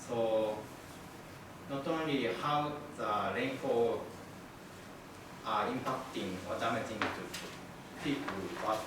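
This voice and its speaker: A man giving an academic lecture in halting, non-native English with many fillers. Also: lowish voice. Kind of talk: academic presentation. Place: lecture room. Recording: echoey room from far off, noisy.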